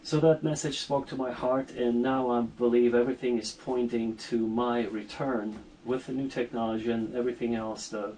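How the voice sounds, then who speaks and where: A man's voice: mid-range voice, fairly even intonation, normal speed, hardly any pauses, dark tone, a little hiss or hum. Middle-aged guy from armenia, earnest and rambly, lots of restarts, mic a bit far away. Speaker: interview guest. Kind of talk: casual video-call conversation.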